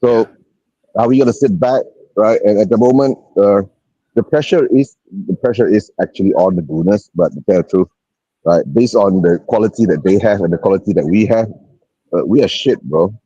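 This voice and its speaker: A man's voice: fairly low pitch, brisk, mellow, breathy edge, no echo, lo-fi sound. A man chatting about football on a live stream, hesitant and stammering, often tagging sentences with "right?". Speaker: panel guest. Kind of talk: casual football chat.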